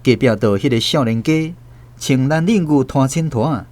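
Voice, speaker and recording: A man's voice: medium pitch, moderate pace, a little mellow. A man with a Taiwanese accent, telling a story as the narrator of a radio drama. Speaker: host and narrator.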